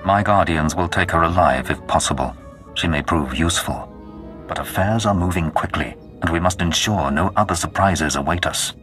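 An actor playing a menacing, pompous Sith lord in a video game, commanding and deliberate. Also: male voice, sits low, a bit bright, faint music behind. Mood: imperious. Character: menacing, imperious male Sith lord seeking to rule. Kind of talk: dramatic video game voice acting.

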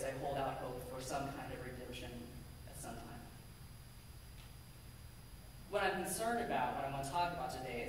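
A woman minister giving a measured, earnest sermon from a pulpit in a church sanctuary, with a pulpit microphone and a distant camera. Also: very deep voice, slight background noise. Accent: American. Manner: measured, deliberate, earnest preaching with emphatic lists. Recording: echoey sanctuary from far off.

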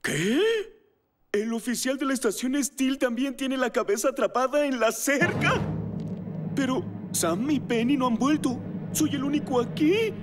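Cartoon rookie fireman: earnest, dramatic, very high for a male, unhurried, Latin American Spanish. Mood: alarmed, resolving. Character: earnest, hapless young male firefighter, eager to help. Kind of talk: animated cartoon dub acting. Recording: music behind the voice.

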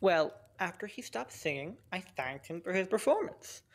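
A woman's voice: fairly low pitch, sing-song, normal speed. A woman playing an earnest, opinionated friend who tells a story matter-of-factly in a comic radio play. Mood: earnest storytelling.

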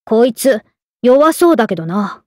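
A cheeky spirit lady from a game, high-pitched and swooping. She speaks in blunt, tomboyish remarks, close and dry. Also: sing-song, moderate pace, no echo. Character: blunt, cheeky, otherworldly female companion spirit. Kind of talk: game character voice lines.

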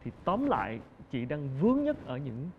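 A man in his thirties hosting a TV talk show, asking polite, probing questions in a brisk way. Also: fairly high pitch, wildly swooping pitch, phone-quality sound, slight background noise. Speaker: host. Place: TV studio set, indoors.

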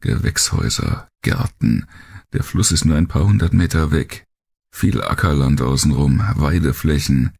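A man voicing a hopeful, determined male leader of survivors in an audiobook, earnest and gravelly, in short phrases. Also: very deep voice, expressive, moderate pace, a little mellow, pitched above the performer's own voice, heavily acted.

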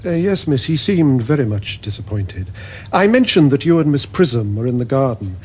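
An actor playing a deferential older butler in a period comedy, formal and slightly hesitant. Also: male voice, mid-range voice, very animated, moderate pace, a little hiss or hum, natural voice. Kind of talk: period comedy screen acting.

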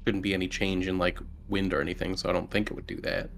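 A man's voice: low voice, level tone, fast, vocal fry, a bit bright, a little hiss or hum. A man talking casually as a player in a tabletop roleplaying game. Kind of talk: casual chat.

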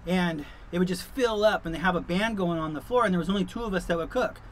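A middle-aged man with a notably high-pitched voice talks casually to his viewers. His delivery is informal and easygoing, wandering along like someone telling a story. He is recorded inside a truck camper, with some ambient vehicle noise underneath. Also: fast talker. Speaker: vlog host. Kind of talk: casual chat to camera.

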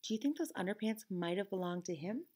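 A woman's voice: mid-range voice, expressive, quick pace, background noise. A middle-aged woman teacher reading a picture book aloud to children expressively, with conversational asides. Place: classroom, indoors.

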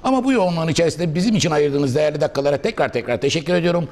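A middle-aged man with a slightly high voice hosts a business interview in a cafe, talking very fast with a lively, swooping delivery. His speech is formal and flowery, and he often jumps in to finish his guest's sentences. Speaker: interviewer host. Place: indoor cafe restaurant.

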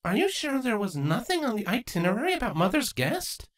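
A woman voicing a bookish, excitable young female changeling princess in a storybook reading, earnest and easily flustered. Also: a little high, fairly quick, pitched above the performer's own voice. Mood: worried.

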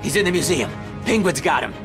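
An actor playing a frightened thug who pleads and stammers for mercy. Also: male voice, on the high side, lively intonation, a little fast, music in the background, lightly acted. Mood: pleading. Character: terrified male thug begging for mercy.

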